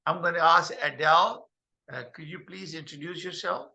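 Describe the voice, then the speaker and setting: An older man hosting a video call meeting, speaking haltingly with many fillers. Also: a little high, steady tone, vocal fry, bright, forceful tone. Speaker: host.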